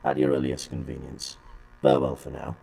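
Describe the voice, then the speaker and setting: Sinister, extremely deep gravelly client. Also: male voice, monotone, fairly quick, warm tone, heavily acted. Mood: cold, menacing. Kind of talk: audio drama acting.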